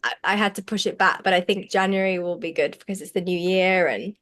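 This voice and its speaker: A woman's voice: fairly low pitch, a little fast, a bit bright. A woman hosting an online session, talking in a chatty, rambling way full of likes. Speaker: program host, facilitator. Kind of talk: casual video call chat. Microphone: video call.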